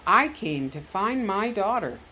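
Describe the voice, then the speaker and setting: Woman reading as a firm, steady father. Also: medium pitch, a little hiss or hum, pitched above the performer's own voice, low-quality recording. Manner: measured, earnest, unintimidated.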